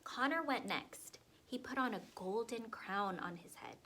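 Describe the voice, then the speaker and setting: A woman reading a picture book aloud to young children, expressive and asking them questions along the way. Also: on the high side, a little slow, a little hiss or hum. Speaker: storyteller for children.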